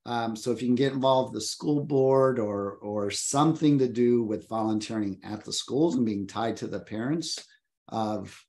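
Man in his fifties, earnest panelist, video call from an office, mid pitch. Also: normal speed, darkish tone. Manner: earnest, reflective, repeats words while thinking. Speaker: luxury real estate agent, panelist. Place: home office. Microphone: video call webcam.

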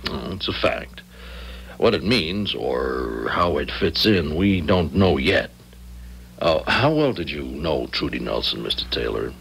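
Very deep, gravelly police lieutenant. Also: male voice, very animated, measured pace, a bit bright, full character voice. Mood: probing. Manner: matter-of-fact questioning. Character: methodical, probing male police lieutenant. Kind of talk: 1970s radio drama acting. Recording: old radio recording, faint noise, hum.

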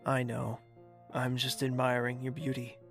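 A man playing a mysterious, suave admirer in a romantic fantasy audio drama, gravelly, courtly and flirtatious. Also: medium pitch, completely flat delivery, unhurried, soft background music. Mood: admiring. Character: mysterious suave male admirer, gentle and flattering.

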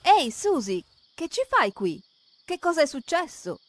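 Woman, high voice, very lively intonation, children's cartoon narrator, expressive and questioning. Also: a little slow. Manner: expressive, questioning, addresses characters directly. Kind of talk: animated children's storytelling, talking to the characters.

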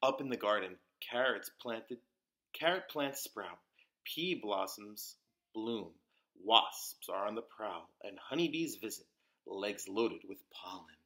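Bright-voiced man reading a storybook slowly. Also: fairly low pitch, lively intonation. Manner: deliberate, dramatic emphasis with occasional whispers and exclamations. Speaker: storyteller reading a picture book aloud for preschoolers. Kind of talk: expressive read-aloud of a children's picture book.

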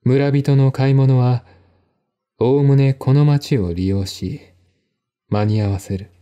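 A man's voice: mid-range voice, steady tone, slow pace, warm tone. A man reading a mystery novel aloud as a dramatized literary narration. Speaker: audiobook narrator.

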